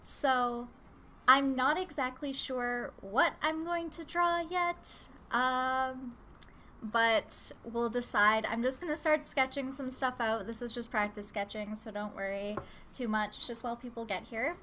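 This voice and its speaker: A woman's voice: on the high side, a little slow, sharp tone, a little hiss or hum. A woman with a cold, sounding a little nasal, chatting casually and rambling with fillers as she hosts a live drawing stream from home. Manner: easygoing, rambling, lots of filler words. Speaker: live-stream artist host. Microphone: open desk microphone.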